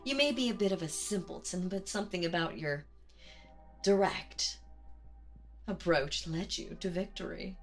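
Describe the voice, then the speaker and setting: A woman voicing a seductive, domineering demon queen in a dramatic audio roleplay, speaking theatrically and deliberately with teasing menace. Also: medium pitch. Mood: possessive, teasing.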